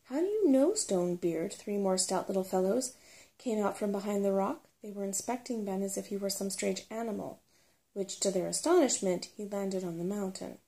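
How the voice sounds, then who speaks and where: A soft-spoken woman reading a children's fantasy story aloud in an unhurried ASMR style. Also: mid-range voice, steady tone. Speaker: storybook reader.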